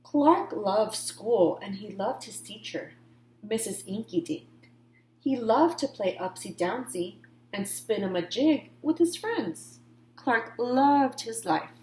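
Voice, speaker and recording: A woman's voice: on the high side, lively intonation, takes it slowly, darkish tone, echoey room, a little hiss or hum, rough recording. A woman reading a picture book aloud to children, giving key words dramatic emphasis and adding informal asides. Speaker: read-aloud storyteller.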